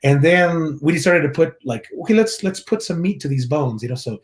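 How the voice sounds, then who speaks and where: A man's voice: a little high, expressive, brisk. Chatty man from Mexico, restarts often. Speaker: church worship director, guest. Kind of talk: casual interview chat.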